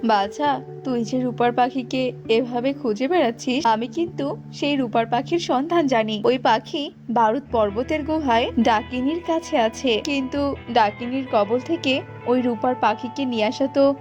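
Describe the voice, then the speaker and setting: A woman's voice: high voice, moderate pace, lo-fi sound, over music, lightly acted. A woman playing a motherly holy woman and wise guide in a children's cartoon fairy tale, speaking instructively. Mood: wise counsel. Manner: instructive, addressing listener as child.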